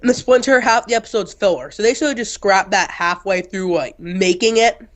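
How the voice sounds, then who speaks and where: A man's voice: sits high, fairly quick. Young male reviewer, blunt, opinionated, sniffly from a cold, casual chat. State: getting over a cold, sniffly. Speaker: co-host reviewer.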